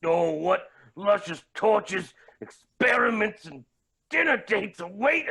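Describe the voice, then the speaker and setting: A man's voice: high voice, very animated, slow, gravelly, bright, forceful tone, exaggerated voice. A man playing a flamboyant, pompous billionaire villain in a staged play reading, smug and theatrical. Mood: gloating. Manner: pompous, grandiose.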